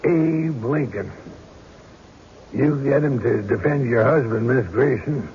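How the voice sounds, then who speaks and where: A man's voice: medium pitch, slow, darkish tone. An actor playing a plain-spoken, practical small-town man in old-time radio drama, matter-of-fact and skeptical. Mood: reassuring, dry. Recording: dull radio recording, faint noise.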